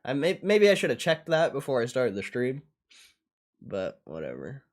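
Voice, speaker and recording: A man's voice: mid-range voice, huge pitch swings, moderate pace. A young man chatting casually on a livestream in slangy, sweary speech, often repeating his phrases as he reacts. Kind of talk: casual livestream chat, reacting to what is on screen.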